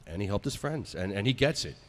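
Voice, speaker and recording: A man's voice: on the low side, very animated, fairly quick. A man co-hosting a podcast, chatting casually and reading out ads. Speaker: podcast co-host. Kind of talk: casual podcast chat and live ad read.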